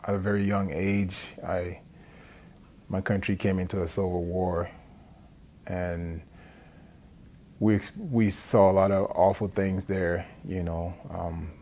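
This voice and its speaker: A man from Liberia speaks. He shares a personal testimony as a recovery support worker, plainly and with halting phrasing. Faint noise sits behind his words. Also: sits low, flat delivery, dark tone. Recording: noticeable background noise.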